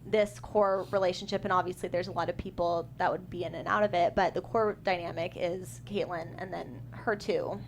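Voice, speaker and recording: A woman's voice: fairly low pitch, fairly even intonation, brisk, hardly any pauses, slight background noise. A woman screenwriter talking about her script in a casual panel discussion, chatty with many fillers and restarts. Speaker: screenwriter guest.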